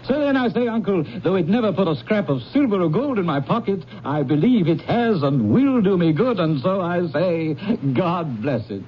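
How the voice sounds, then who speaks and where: An actor playing a warm-hearted young gentleman nephew in a radio drama, earnest, hearty and declamatory. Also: high-pitched, animated, normal speed, few pauses. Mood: earnest, heartfelt. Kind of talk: 1970s radio drama acting. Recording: dull, noisy 1970s radio recording.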